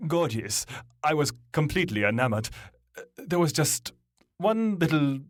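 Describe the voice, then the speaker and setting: A man playing an awkward, lovestruck centuries-old vampire in a comedy audio drama, speaking bashfully with halting stammers. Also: a little high, big pitch swings, no room sound. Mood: smitten. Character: lovestruck, awkward centuries-old male vampire telling his dating story. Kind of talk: mock dating testimonial in comedy audio drama.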